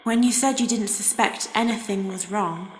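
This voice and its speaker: A woman playing an earnest young hotel guest in a horror game, asking careful, clearly phrased questions in a cautious way. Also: medium pitch, moderate pace, a little hiss or hum, close to an everyday voice, low-quality recording. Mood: cautious, probing.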